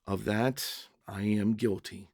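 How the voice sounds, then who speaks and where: Man voicing a serene condemned holy man, slow. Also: fairly low pitch, steady tone, darkish tone, no room sound, clean studio sound. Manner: serene, measured, kindly.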